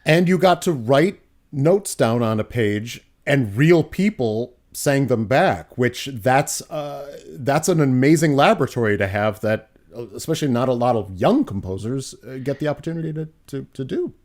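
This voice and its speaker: A man's voice: mid-range voice, animated, a little mellow. Guy hosting a chat show, keeps restarting his sentences, normal mid voice. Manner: halting, stammering restarts. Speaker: host, choir artistic director. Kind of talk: interview conversation.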